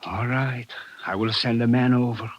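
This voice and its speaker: An actor playing a cautious, earnest Sicilian bar owner with an Italian accent in an old radio drama. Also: male voice, medium pitch, fairly even intonation, moderate pace, no room sound, soft background music, natural voice. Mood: grave. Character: fat Sicilian bar owner, cautious, loyal underworld contact. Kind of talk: 1950s radio drama acting. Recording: muffled old radio recording.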